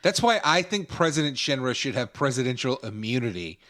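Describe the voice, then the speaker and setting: A man co-hosting a casual gaming podcast, opinionated and given to deadpan sarcasm. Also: medium pitch, a little fast, dry recording, clean studio sound. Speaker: podcast co-host.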